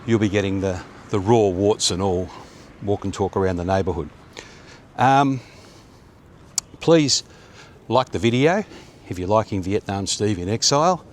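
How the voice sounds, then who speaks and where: A man's voice: fairly low pitch, measured pace, slight background noise. Rambling middle-aged man walking outdoors. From Australia. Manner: rambling, chatty, with many fillers. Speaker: vlogger. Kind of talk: walk-and-talk vlog. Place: quiet suburban street outdoors, sunny. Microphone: phone or action camera held at arm's length.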